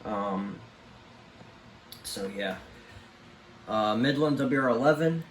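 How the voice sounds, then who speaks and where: A man giving a casual, unscripted show-and-tell, speaking haltingly and trailing off at the ends of his thoughts. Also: medium pitch, roomy sound. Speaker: collector presenting a home video tour. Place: room at home among many radios. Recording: clear background noise and room ambience. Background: weather radio broadcast playing.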